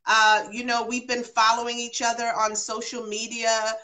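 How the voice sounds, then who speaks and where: A woman's voice: on the high side, monotone, moderate pace, pushed and bright, rough recording. A woman born in London and raised partly in Jamaica and New York, a guest on a talk show, speaking earnestly and repeating phrases for emphasis over a video call. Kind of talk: casual talk-show chat.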